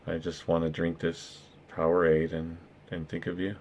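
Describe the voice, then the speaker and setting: A sultry adult male romance reader, as a streamer puts him on, murmuring low and hushed in a very deep voice. The tone is slow, intimate and seductive. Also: monotone.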